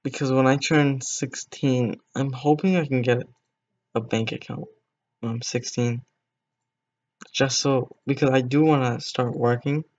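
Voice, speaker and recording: A man's voice: medium pitch, steady tone, unhurried, darkish tone, highs cut off. A teenage boy streaming a game, chatting casually with viewers in a rambling way, voice cracking now and then. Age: teens. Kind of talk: casual gameplay stream chat.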